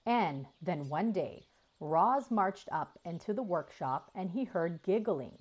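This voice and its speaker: Animated, unhurried woman storyteller. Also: fairly low pitch, breathy edge. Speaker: storyteller reading a children's book aloud. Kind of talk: expressive scripted children's narration.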